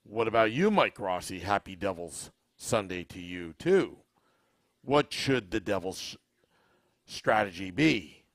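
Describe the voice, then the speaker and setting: A man's voice: lowish voice, no room sound. A man hosting a live-streamed podcast, talking with a scratchy, worn voice, chatting and reading viewer comments. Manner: relaxed, unhurried, asks the audience questions. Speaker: podcast host.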